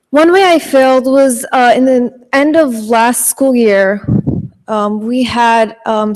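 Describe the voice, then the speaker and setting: A teenage girl telling a personal story on stage, hesitant and filling pauses with ums. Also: a little high, a little mellow. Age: teens. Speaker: student panelist. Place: large auditorium stage. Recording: long hall reverb.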